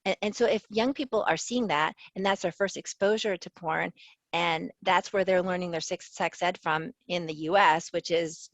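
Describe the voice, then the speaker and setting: A woman's voice: on the low side, fairly even intonation, normal speed, dry recording. A woman sociology professor from the American South, answering interview questions earnestly and thoughtfully, often laughing. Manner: earnest, thoughtful, laughs easily, restarts phrases. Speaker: sociology professor and author, guest.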